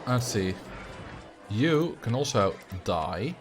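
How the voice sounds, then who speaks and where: Young Dutch-accented man, relaxed streamer chat. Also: mid-range voice, takes it slowly, a little hiss or hum. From Netherlands. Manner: relaxed, rambling, answers chat with fillers. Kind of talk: casual livestream chat while building a theme park game.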